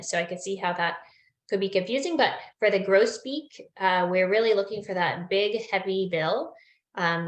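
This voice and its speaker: A young woman presenting a bird identification lesson on a video call, friendly and explanatory with frequent ums, slightly losing her voice. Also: mid-range voice. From Newfoundland. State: losing her voice, clearing throat. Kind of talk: online webinar lesson. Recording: echoey room on a video call.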